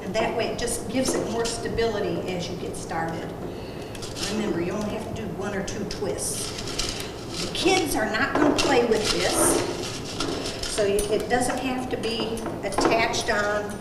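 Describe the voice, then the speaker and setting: A woman walks through a holiday craft step by step, folksy and instructive, her talk unhurried. She sounds set back from the mic in a very echoey room with long reverb and loud background noise. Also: medium pitch, far from the mic. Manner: plain-spoken, instructive, folksy. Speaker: craft demonstrator. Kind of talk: casual how-to demonstration. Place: senior center room.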